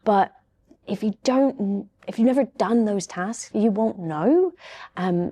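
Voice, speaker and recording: A woman speaking on a panel, measured and thoughtful, slowing down to stress her points. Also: medium pitch, mellow. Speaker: panel guest. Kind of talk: casual panel discussion.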